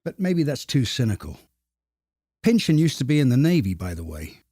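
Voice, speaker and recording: Mellow, deadpan man in a dry room. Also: medium pitch, no room sound. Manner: measured, deadpan, slightly formal. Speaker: podcast co-host. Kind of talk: conversational podcast discussion.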